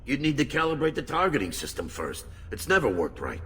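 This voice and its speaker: An actor playing a bitter, distrustful colony mechanic in a video game, with a gruff, sullen, grumbling manner. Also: male voice, medium pitch, huge pitch swings, brisk, fairly bright tone, full character voice. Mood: bitter, grudging. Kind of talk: video game dialogue acting.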